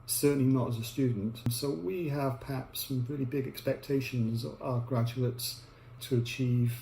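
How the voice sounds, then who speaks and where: An older man, an architecture lecturer, giving measured, reflective answers in an interview. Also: medium pitch, warm tone. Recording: echoey room with faint hum.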